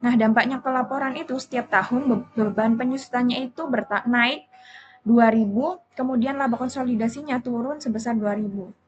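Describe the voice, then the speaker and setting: A woman's voice: fairly high pitch, a little fast. A young woman student with an Indonesian accent delivers an explanatory class presentation. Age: young adult. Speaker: university accounting student presenter. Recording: muffled lo-fi audio, faint background noise.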